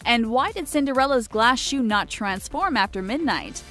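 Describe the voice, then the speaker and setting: A woman's voice: high-pitched, a bit bright. A woman reading a scripted narration for an online list video.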